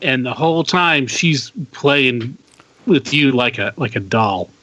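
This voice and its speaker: A man's voice: mid-range voice, animated, slow pace, bright, forceful tone, dry recording, rough recording. A man co-hosting a podcast in casual chat, adding wry, folksy, rambling asides. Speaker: podcast co-host.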